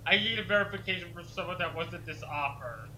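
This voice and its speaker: A man's voice: high voice, a little fast, bright, forceful tone. A man chatting casually as a co-commentator, heard over a lo-fi connection. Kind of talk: casual chat. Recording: dull lo-fi voice connection.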